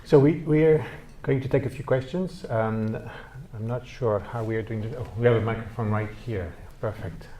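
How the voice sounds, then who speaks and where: A man's voice: mid-range voice, normal speed, few pauses, warm tone. A man casually moderating a question session at an indoor public event. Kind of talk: casual spoken hosting. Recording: echoey room with faint noise.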